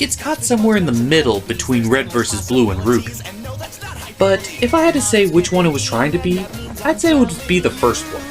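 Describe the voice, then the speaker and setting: Animated man reviewing cartoons over music. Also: fairly high pitch. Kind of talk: scripted comedic review narration. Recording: music behind the voice.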